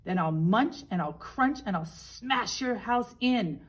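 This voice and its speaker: A woman's voice: mid-range voice, expressive, slow pace, slightly rough. Casting: woman as a bullying storybook shark, hungry and menacing, with emphatic sing-song threats.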